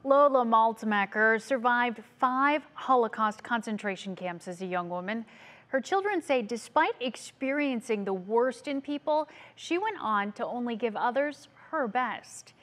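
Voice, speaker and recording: A woman news anchor reading a story introduction clearly and composedly in a television studio. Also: a little high, expressive, a little slow, a bit bright.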